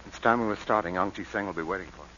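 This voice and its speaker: An actor playing a serious, guarded male lawyer, speaking in a measured and earnest way in 1940s radio acting style. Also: sits low, pushed and bright. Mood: reflective. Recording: dull old radio broadcast, faint hiss.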